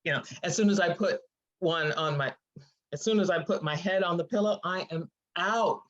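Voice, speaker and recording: A woman's voice: lowish voice, normal speed, lightly acted. A woman acting a brash, playful, teasing best friend in a live dramatic script reading. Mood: upbeat. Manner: animated, teasing. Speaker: actor in a screenplay table read. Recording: dull lo-fi audio.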